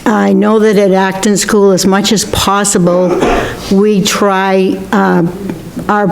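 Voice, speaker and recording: An older woman answering as a school committee candidate at a local forum, speaking haltingly with restarts. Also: mid-range voice, a little mellow, poor audio. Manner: halting, restarts, clears throat.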